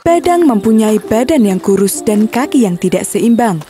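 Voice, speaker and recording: A woman's voice: on the high side, lively intonation, moderate pace, warm tone. A woman narrating a scripted children's story.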